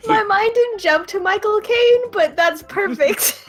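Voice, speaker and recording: A woman's voice: very high voice, measured pace, faint music behind. A woman co-hosting a stream in casual chat, often thinking aloud as she goes. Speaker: stream co-host.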